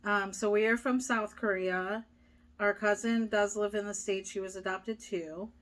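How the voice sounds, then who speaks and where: A woman's voice: mid-range voice, fairly even intonation, unhurried, a bit bright. A young woman vlogger chatting casually, reading viewer questions aloud and answering with many fillers. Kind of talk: casual chat.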